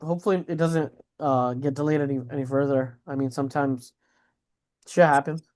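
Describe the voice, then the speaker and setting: A man's voice: mid-range voice, fairly even intonation, normal speed, darkish tone. A man co-hosting a podcast, reacting to news in a casual, slangy way with mild swearing. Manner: slangy, informal, swears mildly. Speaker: podcast co-host.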